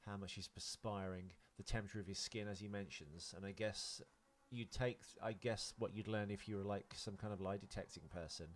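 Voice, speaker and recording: Fast-talking man on a film podcast. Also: lowish voice, fairly even intonation. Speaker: podcast co-host. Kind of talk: casual film discussion.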